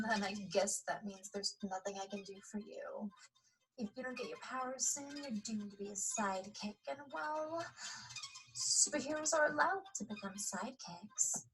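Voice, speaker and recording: A woman's voice: on the high side, animated, normal speed, fairly bright tone, soft background music, low-quality recording. A woman playing a sweetly patronizing teacher at a superhero school in an audio drama. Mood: condescending. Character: condescending sugary female superhero-school teacher. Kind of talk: scripted audio drama acting.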